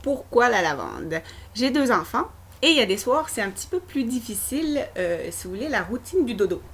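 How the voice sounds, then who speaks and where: A woman's voice: a little high, sing-song, a little fast, slightly rough. A woman with a Québécois accent, casually presenting a product straight to her viewers in a chatty, informal way. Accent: Québécois French. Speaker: essential oil presenter. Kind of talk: casual product demo vlog.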